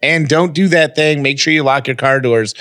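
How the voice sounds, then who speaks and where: A man's voice: fairly high pitch, moderate pace, fairly bright tone, no echo, studio quality. A man co-hosting a podcast, chatting casually and personally. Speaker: podcast co-host. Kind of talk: casual banter and personal talk.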